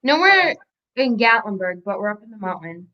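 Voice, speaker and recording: A woman talks in a casual, playful way, as in a chat among friends. Her pitch is average and the audio is dull and lo-fi. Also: sing-song, moderate pace, a crowd in the background.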